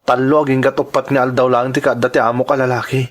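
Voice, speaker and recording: A man's voice: medium pitch, flat delivery, fast talker, natural voice. A man with a Filipino accent playing an earnest, worried migrant worker in an Ilocano radio drama, speaking in a hesitant, respectful way. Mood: worried, confiding. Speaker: voice actor.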